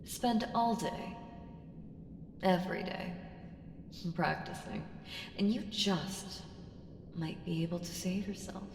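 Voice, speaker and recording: A woman voicing an ancient, haughty evil entity in a slow ASMR audio roleplay, deliberate and teasing, slipping into breathy whispers. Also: lowish voice, darkish tone. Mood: condescending warning. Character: ancient evil female entity, powerful, haughty, coolly menacing patron. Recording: echoing long hall reverb, ambient hiss.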